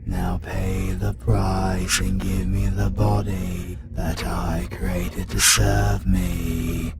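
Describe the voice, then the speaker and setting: An ancient demon god, put on by a British man, speaks in a deep, gravelly voice and drags every word out slowly. He sounds cold and commanding, as if the world already belongs to him. In this fantasy audio roleplay, loud ambient noise runs behind him. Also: flat delivery, over loud music, heavily acted. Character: ancient male demon god possessing a hunter, menacing.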